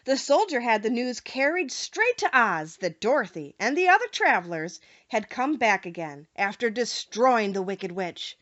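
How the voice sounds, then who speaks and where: A woman narrating an audiobook of a children's story in an expressive, carefully phrased way. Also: fairly high pitch, normal speed, few pauses, muffled recording.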